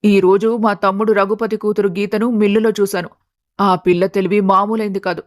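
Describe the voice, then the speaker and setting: A woman's voice: medium pitch, flat delivery, fairly quick, no echo, clean studio sound, lightly acted. A woman voicing a scheming, greedy middle-aged aunt who talks insistently in a family story. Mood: eager. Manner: insistent, calculating.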